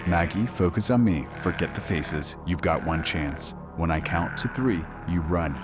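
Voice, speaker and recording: An actor playing a commanding late-night radio host with a dry, sardonic manner in a horror audio drama. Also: male voice, sits low, expressive, unhurried. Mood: urgent, commanding. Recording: music behind the voice.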